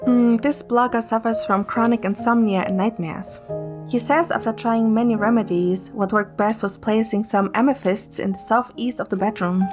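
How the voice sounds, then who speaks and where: A woman playing a playful, caring young woman who narrates a romantic story in the first person, in a gentle, soft manner. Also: on the high side, fairly even intonation, normal speed, music in the background, lightly acted. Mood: light, playful. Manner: gentle, soft, sometimes whispering.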